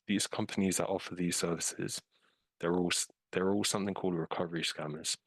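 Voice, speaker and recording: Man, British accent, deep voice, dry close sound, casual webinar talk, video call mic. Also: fairly even intonation, fairly quick, husky, no echo. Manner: informal, uses fillers like "um" and "like". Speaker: scam investigator presenting a webinar. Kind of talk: casual walkthrough of a case with slides.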